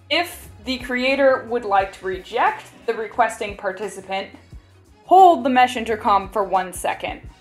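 A woman reading a scripted instructional narration for a product tutorial. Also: fairly high pitch. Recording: music bed behind voice. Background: background music.

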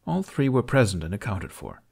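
A man reading fiction aloud as an audiobook narrator, with expressive scripted delivery. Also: fairly low pitch, brisk, gravelly, slightly rough.

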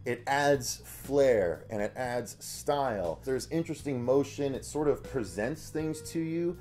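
Mellow-voiced American man co-hosting. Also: mid-range voice, expressive, unhurried, soft background music. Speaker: co-host of a comic review show. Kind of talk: casual review chat to camera. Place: small indoor room lined with comic shelves.

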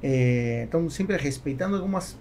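A man in his forties with a Spanish accent in Portuguese, talking casually and haltingly as a podcast guest. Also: a little high, animated, dark tone. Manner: halting, with repeated words. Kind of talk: casual interview talk. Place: small foam-padded podcast studio. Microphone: close studio microphone on boom arm. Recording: faint background noise.

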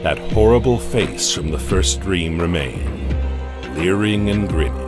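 A man narrating a horror audio comic in a slow, measured, ominous way. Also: sits low, expressive. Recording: narration over loud music.

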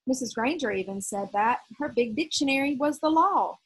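A woman's voice: high voice, lively intonation, moderate pace, husky, pitched above the performer's own voice, poor audio. Woman reading as an earnest, defiant schoolboy. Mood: defiant, matter-of-fact. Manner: earnest, self-justifying.

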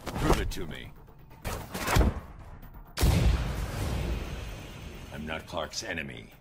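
An actor playing a stern, brooding veteran vigilante in a video game, terse, deadpan and commanding. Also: male voice, normal speed, creaky, loud music behind the voice, exaggerated voice. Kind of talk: video game character acting. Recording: loud rumbling background noise.

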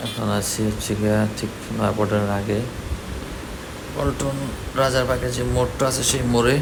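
A man's voice: mid-range voice, steady tone, moderate pace. A man with a Bangladeshi accent giving casual running commentary as a vlogger, recorded outdoors on a moving motorbike. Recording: clear traffic and wind-like road noise. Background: vehicle and road noise.

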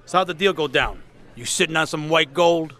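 A man playing a middle-aged, domineering, smooth-talking mob boss in a video game cutscene, with a gravelly voice and pushy tag questions. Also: a little high, a little fast, sharp tone, faint music behind. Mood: falsely friendly.

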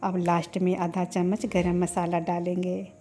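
A woman hosting a cooking channel, giving calm step-by-step recipe instructions as a voice-over. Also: fairly low pitch, moderate pace, slight background noise.

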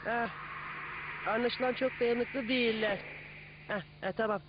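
A man voicing a small, excitable and fussy creature in an educational cartoon dub. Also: very high voice, a little slow, noisy background. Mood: busy, musing. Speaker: cartoon character. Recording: muffled audio under loud music.

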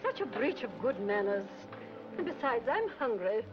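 An actress playing an excitable, genteel middle-aged society lady in a 1940s comedy film. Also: a little high, normal speed. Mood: indignant. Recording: dull old film soundtrack with music.

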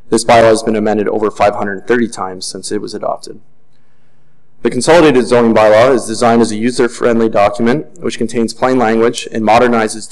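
A man on city planning staff reading a formal report in a measured way, with occasional fillers, at a desk microphone in a council chamber. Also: on the low side, rough recording.

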